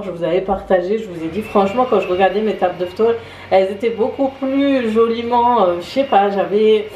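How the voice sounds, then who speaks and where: A woman's voice: mid-range voice, mellow, roomy sound, a little hiss or hum, low-quality recording. A tired woman chatting casually in her home kitchen, hesitant, with many fillers. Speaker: vlogger. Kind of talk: casual vlog chat.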